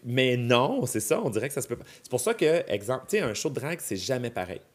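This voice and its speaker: A man's voice: medium pitch, very animated, fast talker. A man in his thirties with a Québécois French accent, chatting casually as a podcast guest and explaining his ideas with examples and asides. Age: 30s. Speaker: podcast guest, drag performer. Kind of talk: casual chat.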